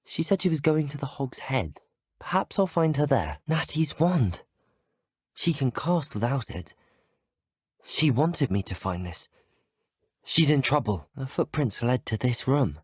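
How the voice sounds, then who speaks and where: A man's voice: medium pitch, sing-song, unhurried, long pauses, breathy edge, no echo. The earnest, well-spoken British schoolboy hero of a fantasy video game, heard up close in a dry studio, his melody rising and falling. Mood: focused. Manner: courteous, articulate, earnest. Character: polite, earnest, determined teenage male student wizard, a game hero. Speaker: video game player character. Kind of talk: scripted video game dialogue.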